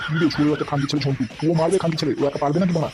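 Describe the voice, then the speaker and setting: A man's voice: fairly high pitch, steady tone, racing, very noisy, natural voice. A man voicing a bullying, hot-tempered politician boss in a comedy cartoon, blustering, threatening and cursing. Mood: furious.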